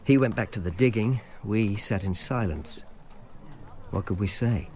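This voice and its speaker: An actor as Falco, a world-weary Roman informer. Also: male voice, on the low side, a little slow, a little mellow, natural voice. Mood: shaken, subdued. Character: Falco, world-weary, sardonic male informer in ancient Rome. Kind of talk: radio drama narration and dialogue.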